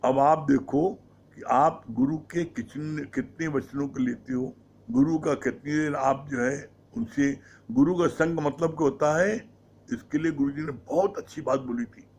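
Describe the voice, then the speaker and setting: A man giving a devotional discourse on scripture. He explains slowly and keeps asking rhetorical questions, with English words mixed into his Hindi. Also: a little high, darkish tone. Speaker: satsang speaker.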